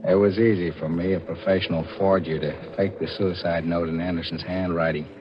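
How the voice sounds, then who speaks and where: A man's voice: low voice, level tone, brisk, close to an everyday voice. An actor playing a scheming, nervous forger and killer who tells his crime in clipped hardboiled style, in 1940s radio thriller acting. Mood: matter-of-fact. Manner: confessional, clipped hardboiled narration. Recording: muffled vintage radio recording, music behind.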